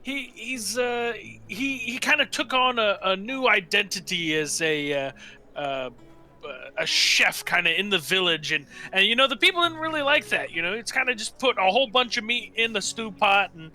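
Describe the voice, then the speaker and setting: A man with a high-pitched and strikingly bright, sharp voice talks as a player in a tabletop game. He feels his way haltingly through a tongue-in-cheek character description. Faint music plays underneath. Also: expressive. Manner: halting, hesitant delivery with tongue-in-cheek humor. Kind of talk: improvised casual character description.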